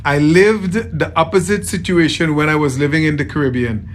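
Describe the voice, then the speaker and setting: A man from Trinidad with a softened Trinidadian accent, chatting casually with viewers on a livestream as a tailor, friendly and often repeating himself. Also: on the high side, moderate pace. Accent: Trinidadian, softened toward American. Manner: friendly, repeats phrases, greets viewers by name.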